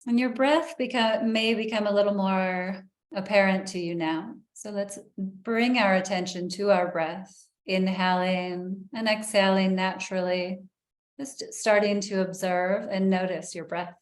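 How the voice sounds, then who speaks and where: Slow, soothing woman guiding meditation. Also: mid-range voice, steady tone, roomy sound. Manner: soft, slow and soothing, with long pauses. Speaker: meditation guide. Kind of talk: guided meditation.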